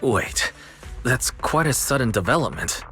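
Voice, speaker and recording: A man's voice: mid-range voice, lively intonation, a little slow, bright, forceful tone, soft background music. A man voicing a young investigation team captain in a video game, earnest and questioning, skeptical yet dependable. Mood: surprised, questioning. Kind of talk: scripted video game dialogue.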